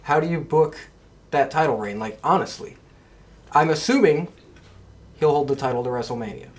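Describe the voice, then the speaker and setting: A man's voice: on the high side, expressive, moderate pace. A man hosting a podcast, talking casually in a conversational discussion. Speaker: podcast host.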